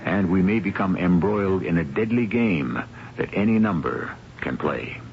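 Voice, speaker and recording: A man hosting a radio mystery drama as a solemn, philosophical narrator with measured, theatrical delivery. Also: low-pitched, lively intonation, gravelly, a little hiss or hum. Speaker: host and narrator of a radio anthology. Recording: muffled 1970s radio broadcast, music behind.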